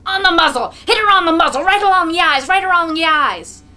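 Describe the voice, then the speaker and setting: A woman voicing a savage, drunken peasant man in a dramatic story reading, yelling in fury. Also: very high voice, expressive, fairly quick, pitched above the performer's own voice, heavily acted. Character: furious, brutal drunken peasant man whipping a horse.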